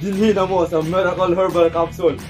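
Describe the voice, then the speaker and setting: A man's voice: high-pitched, level tone, fairly quick, music in the background. A man reading a scripted radio commercial as an announcer. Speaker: advertisement announcer.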